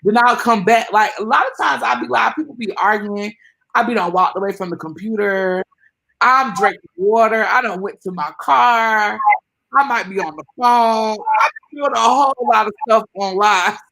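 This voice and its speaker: A woman in her twenties chatting casually as an interview guest, animated with loud bursts of excitement. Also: mid-range voice, normal speed, vocal fry, poor audio. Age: 20s.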